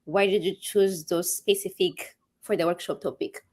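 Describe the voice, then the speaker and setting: A woman's voice: lowish voice, fairly even intonation, normal speed, a little mellow. A woman hosting an interview, asking questions in a friendly, eager way with loose phrasing. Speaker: host. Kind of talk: conversational interview.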